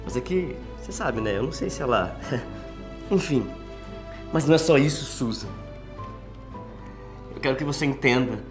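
An actor playing a brash young businessman, impulsive and quick to joke. His pitch leaps around as he talks, with an average mid register. Film score plays clearly beneath the dialogue. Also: close to an everyday voice. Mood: earnest. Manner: animated, joking. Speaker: lead actor. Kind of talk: film drama acting. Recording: film dialogue with music underneath.

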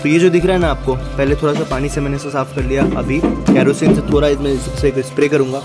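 A man's voice: medium pitch, flat delivery, quick pace, over loud music. A young man with an Indian accent, chatting casually to his phone held at arm's length as a vlogger, mixing in English words. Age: young adult. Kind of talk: casual vlog chat with English words mixed in. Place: on the move in car, garage, home and gym.

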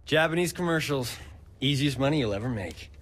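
A man's voice: medium pitch, expressive, a little fast, fairly bright tone. An actor playing a naive young male movie actor who joins a heist, earnest and easily alarmed. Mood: eager. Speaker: actor tagging along on a heist. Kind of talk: video game cutscene.

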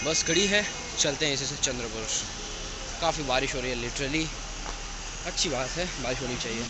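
A man's voice: medium pitch, normal speed. A young man chatting casually in a vlog, mixing in English words, filmed outdoors on a phone held at arm's length. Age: young adult. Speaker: vlogger. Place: outdoors on streets, bus stand and roadside. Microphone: phone camera held at arm's length. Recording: loud traffic and outdoor noise. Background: traffic, motorcycles and street noise.

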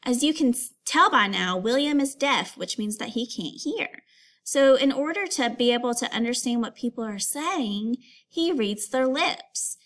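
A woman with a fairly high voice speaks as a teacher to young children. She delivers a read-aloud lesson in an instructive, expressive storytelling manner, addressing her listeners as pupils. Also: unhurried.

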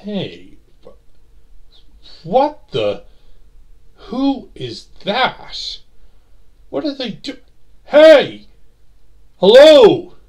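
Man acting expressive, easygoing guy in audio roleplay. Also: very high-pitched, extremely slow, long pauses, voice pushed up, full character voice. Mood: alarmed, urgent.

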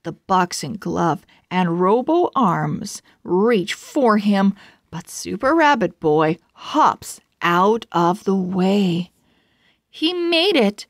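A woman narrates a children's book at a very slow pace. Her phrasing is deliberate and measured, made for young readers. The recording is dry and close, and her pitch sits in a middle range. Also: very animated, dry recording. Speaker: children's book read-aloud host. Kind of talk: read-aloud story narration for children.

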